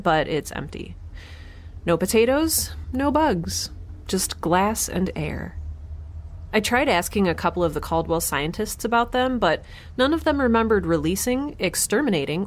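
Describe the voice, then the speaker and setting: A woman playing a wry, frazzled young scientist in an audio drama, chatty and sardonic with halting moments. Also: mid-range voice, natural voice. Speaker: lead actor and creator of the podcast. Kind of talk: first-person audio drama, spoken log.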